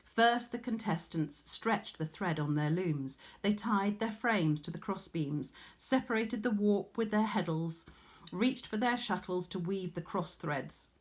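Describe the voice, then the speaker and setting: A woman's voice: medium pitch, lively intonation, measured pace. A woman with an English accent reading a story expressively to children, as a teacher would.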